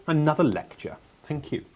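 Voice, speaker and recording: A man's voice: a little high, sing-song, measured pace, mellow. A man acting a young uncrowned king in a radio play, whining, sarcastic and stubborn. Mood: mocking. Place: actor's home, recorded remotely.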